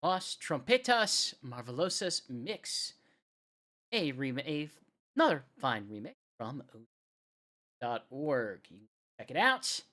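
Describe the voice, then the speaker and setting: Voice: mid-range voice, sharp tone. A radio host chatting casually and enthusiastically between music requests on a live show, with informal, emphatic delivery.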